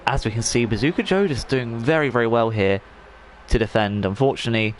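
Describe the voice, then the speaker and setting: A man's voice: mid-range voice, fairly quick, slight background noise. A man commentating a sim racing league race in a chatty, rambling way, while he is losing his voice. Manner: chatty, rambling, frequent fillers and restarts.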